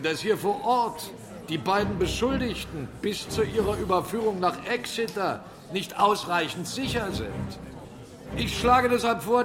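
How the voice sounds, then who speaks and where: A woman's voice: mid-range voice, close to an everyday voice. A woman playing a resolute, authoritative captain in a radio drama, speaking formally and firmly.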